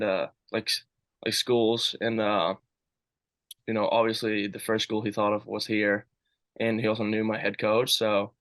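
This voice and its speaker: A man's voice: on the low side, fairly bright tone, no room sound. A young man from Sweden, a student athlete chatting casually and rambling with lots of "like". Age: young adult. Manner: rambling, says "like" a lot. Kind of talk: casual interview answers.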